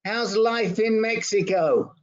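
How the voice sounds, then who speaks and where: Unhurried higher-voiced man moderating a group call. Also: slight background noise. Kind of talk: casual group roll call. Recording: dull lo-fi online group call.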